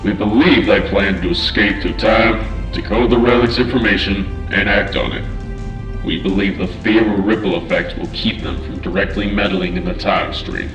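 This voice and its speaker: A man's voice: on the low side, fairly even intonation, normal speed, lightly acted. A man voicing a grave robot military commander, formal and solemn, in an amateur comic dub. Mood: grave, urgent. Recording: roomy, with music bed.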